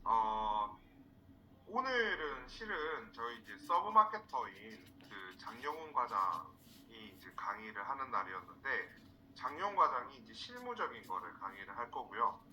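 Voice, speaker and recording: A man from South Korea teaching a live online class in an informal, halting way, repeating words for emphasis. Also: medium pitch, big pitch swings, bright, forceful tone, soft background music, rough recording. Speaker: instructor, marketing trainer. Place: indoors, online screen-share session.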